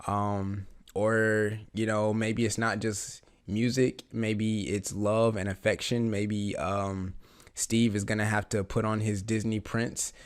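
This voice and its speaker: Raspy man recovering from the flu. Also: on the low side, level tone, slow. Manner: rambling, many fillers and restarts, eager fan. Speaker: reaction channel host. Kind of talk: casual reaction commentary.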